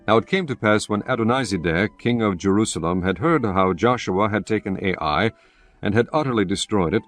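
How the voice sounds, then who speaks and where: A man narrating scripture in a measured, solemn way. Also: lowish voice.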